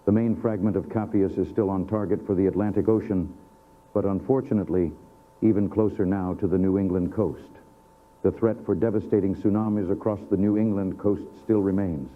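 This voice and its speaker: A solemn US president played by a man, low-voiced and statesmanlike, delivers a formal national address in an analog horror drama. The sound is thin and narrow, like an old broadcast over a phone line. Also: steady tone, fairly quick, natural voice. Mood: solemn, measured. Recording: tinny narrow-band broadcast audio.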